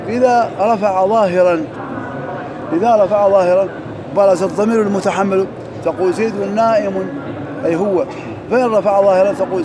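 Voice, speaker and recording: A man teaches Arabic grammar in a mosque. His high voice rattles off examples at a brisk pace and slows when he recites verses. A crowd murmurs clearly in the background. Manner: quick, drilling examples, recites verses slowly. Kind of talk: lesson parsing grammar and verse.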